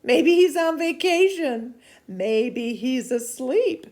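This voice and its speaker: A woman voicing a bold, commanding male prophet in a children's storybook, with loud, emphatic delivery. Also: sits high, animated, takes it slowly, pitched above the performer's own voice.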